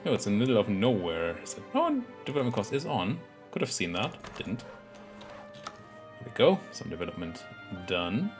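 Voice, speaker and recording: A man with a slightly hoarse voice from a cold talks through his strategy game in a low voice that swings up and down a lot. He mutters his thoughts aloud with fillers and restarts, and soft game music plays faintly behind him. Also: wildly swooping pitch, moderate pace. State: has a cold, voice a bit hoarse. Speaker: let's play gaming commentator. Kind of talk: casual live gameplay commentary.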